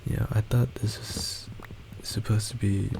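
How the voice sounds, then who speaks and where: Quiet, hesitant young man rambling slowly. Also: on the low side, steady tone, gravelly, noisy background. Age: young adult. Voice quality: quiet soft voice. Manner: hesitant, halting, many restarts and fillers. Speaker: college student. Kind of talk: rambling personal monologue. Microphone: desktop microphone with boosted gain.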